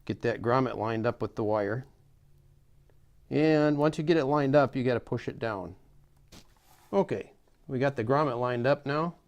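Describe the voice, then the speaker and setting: A man's voice: medium pitch, normal speed, pauses often, darkish tone. A middle-aged man giving a casual, hands-on tutorial in a folksy, step-by-step way. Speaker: how-to host demonstrating a repair.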